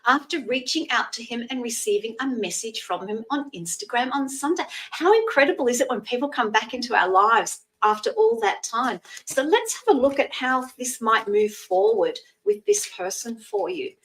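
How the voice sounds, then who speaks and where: A Scottish woman in her sixties giving a live tarot reading, chatting in a gentle, reassuring way and using terms of endearment. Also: fairly high pitch, animated, moderate pace, distant mic, poor audio. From Scotland. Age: 60s. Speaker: psychic tarot reader.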